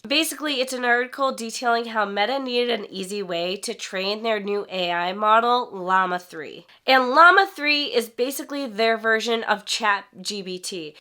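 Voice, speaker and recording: Congested lady youtuber, bright voice, fired up and talking right at you. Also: medium pitch. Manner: animated and indignant, talks straight to viewers. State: congested, recovering from a cold. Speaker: author and YouTube host. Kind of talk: direct-to-camera vlog commentary.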